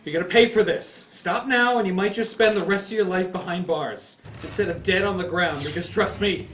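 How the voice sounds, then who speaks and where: Guy acting out a cornered wounded man, high bright voice, cursing and begging in a comedy script, echoey room. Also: fairly quick, recorded from a distance. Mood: threatening. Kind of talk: comedy script table read. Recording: roomy echo, off-mic, faint noise.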